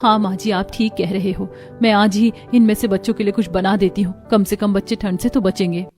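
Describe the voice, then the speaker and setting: Woman plays poor village wife, earnest, worried, dark soft timbre, moral tale with light music. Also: medium pitch, fairly even intonation, brisk, natural voice. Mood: dutiful.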